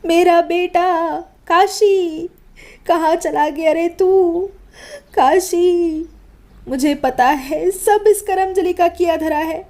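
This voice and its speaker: A cruel older mother-in-law in a cartoon village tale, voiced by a woman. She scolds and commands in a high, shrill voice. Her tone is biting and dismissive. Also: unhurried, hardly any pauses, mellow. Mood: wailing.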